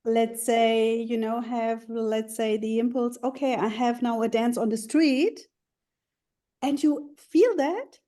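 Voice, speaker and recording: A woman from Germany in her thirties answers interview questions about her coaching work. Her voice is mellow and a touch high, and her unhurried speech is full of ums and restarts. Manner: hesitant, many fillers and restarts. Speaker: life coach, interview guest.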